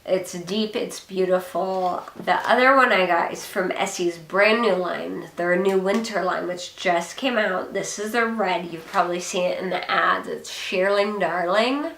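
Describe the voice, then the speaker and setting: A young woman with a hoarse voice from a cold, chatting casually with many ums as she shows off her purchases. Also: lowish voice, measured pace, hardly any pauses, fairly bright tone, reverberant, a little hiss or hum, rough recording. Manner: chatty, rambling, frequent ums. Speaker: beauty vlogger. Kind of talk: casual product haul chat.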